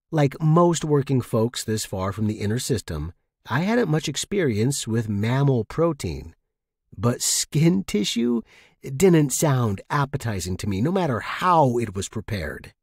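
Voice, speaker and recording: A man hosting and narrating fiction in a slightly husky voice. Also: medium pitch, darkish tone, no echo, clean studio sound. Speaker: podcast host and narrator. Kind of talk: scripted narration.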